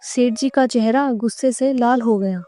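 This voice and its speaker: Mellow, higher-pitched woman storyteller. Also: moderate pace, soft background music. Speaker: narrator. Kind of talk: scripted children's moral story narration.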